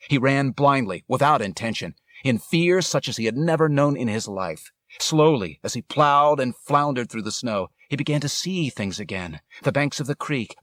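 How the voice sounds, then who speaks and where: A man reading a literary story as an audiobook narrator, with measured, dramatic, deliberate phrasing. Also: lowish voice, a bit bright, no room sound.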